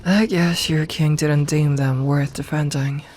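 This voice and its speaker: A woman playing an imperious serpent queen in an ASMR audio roleplay, speaking formally and with measured dignity, commanding yet tender toward her companion. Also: sits low, fairly even intonation, darkish tone. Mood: calm, matter-of-fact. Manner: regal, formal, measured speech. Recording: ambient noise behind the voice.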